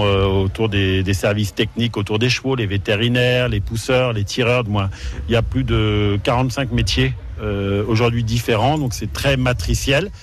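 A man who directs a racecourse, explaining his work in an interview with frequent hesitations. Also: lowish voice. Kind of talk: interview answers.

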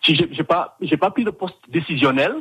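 A man's voice: fairly high pitch, fairly quick, a bit bright, slightly rough, rough recording. A man from Senegal, calling in to a radio show from Canada, talking with many fillers and restarts. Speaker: guest. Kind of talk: phone-in radio interview.

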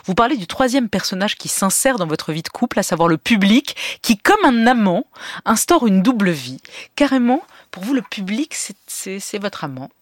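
A woman's voice: mid-range voice, very animated, moderate pace, dry recording, clean studio sound. A woman hosting a radio interview, asking direct and lightly teasing questions.

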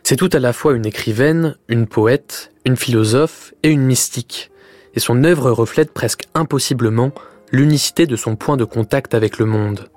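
A man giving a measured, literary scripted introduction as a radio presenter. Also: mid-range voice, darkish tone.

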